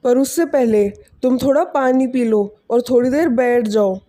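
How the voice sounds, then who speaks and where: A woman portrays an older countryman in an animated fable. The tone is mellow and somewhat high, avuncular and full of helpful concern. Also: normal speed, close to an everyday voice. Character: kindly older village man, helpful and concerned. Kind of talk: animated moral story dialogue.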